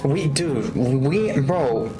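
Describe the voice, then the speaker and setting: Young man streaming, pitch swinging widely. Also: extremely slow. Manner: excitable, slangy, exclaiming. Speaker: game live streamer. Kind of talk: casual live gameplay commentary. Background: game music.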